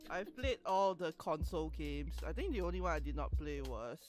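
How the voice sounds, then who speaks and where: A man's voice: on the high side, very animated, moderate pace. A man co-hosting a livestream, chatting casually and playfully with stammering restarts. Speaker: stream co-host. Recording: voice over faint music.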